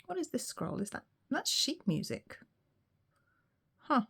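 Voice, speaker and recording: A woman reading tarot cards in a relaxed solo session, her voice a little weak and hoarse, musing aloud with frequent ums. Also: sits high, measured pace. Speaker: tarot reader.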